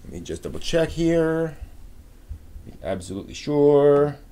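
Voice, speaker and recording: A man's voice: a little high, very animated, measured pace, mellow, slight background noise. A man hosting a live stream, chatting casually with viewers in an informal, rambling way as he thinks aloud.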